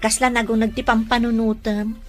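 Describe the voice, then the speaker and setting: A woman's voice: a little high, little pitch movement, fairly quick, soft background music, close to an everyday voice. A woman acting a concerned young friend in a radio drama, chatty and frank as she gives advice. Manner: chatty, advising.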